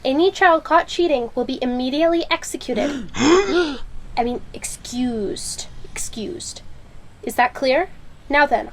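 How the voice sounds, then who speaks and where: A woman playing a stern, coldly brisk exam proctor in an audio drama, with a clipped, formal, commanding manner. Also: high voice, a little hiss or hum. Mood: strict.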